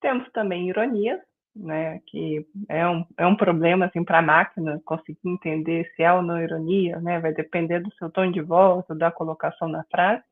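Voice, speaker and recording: A middle-aged woman from Minas Gerais with a Mineiro accent, teaching an online class in a friendly, explanatory way and filling pauses with "né". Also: lowish voice, fairly even intonation. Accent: Mineiro Brazilian Portuguese. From Minas Gerais, Brazil. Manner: friendly, explanatory, frequent "né" and "é" fillers. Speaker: guest instructor. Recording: tinny phone-like online call audio.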